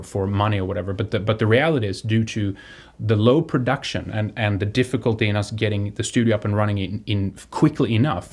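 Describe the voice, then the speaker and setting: Low-voiced man from Sweden, halting delivery. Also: a little mellow. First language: Swedish. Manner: halting, repeats and restarts words. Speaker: online show host. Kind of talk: unscripted appeal to viewers.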